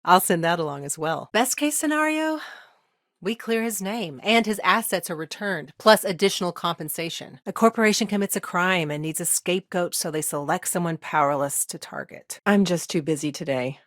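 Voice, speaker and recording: Actress as wry, lower-voiced woman lawyer in a game. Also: lively intonation, moderate pace, no echo, studio quality, lightly acted. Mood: wry, busy. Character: busy, dry-witted woman lawyer, dogged and friendly. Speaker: video game voice actor. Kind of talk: game dialogue lines, naturalistic acting.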